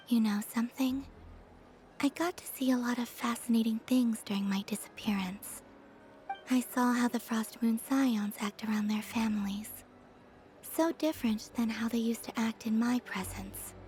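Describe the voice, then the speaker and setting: Young moon goddess , played by a woman: gentle, wistful, unhurried, breathy near-whisper, lightly high voice, video game dialogue. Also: lightly acted. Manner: slow, soft, often breathy near-whisper.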